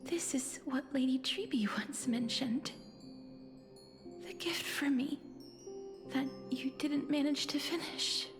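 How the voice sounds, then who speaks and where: Game role: gentle, formal young priestess, high pitch, unhurried tender delivery. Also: female voice. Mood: moved. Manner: formal, polite, tender phrasing. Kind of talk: anime-style video game voice acting. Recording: game music behind the voice.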